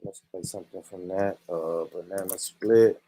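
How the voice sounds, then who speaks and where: A man's voice: sits low, fairly even intonation, normal speed, warm tone, poor audio. A man hosting a vlog, chatting loosely. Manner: laid-back, addressing viewers. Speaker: vlogger host. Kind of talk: casual vlog chat.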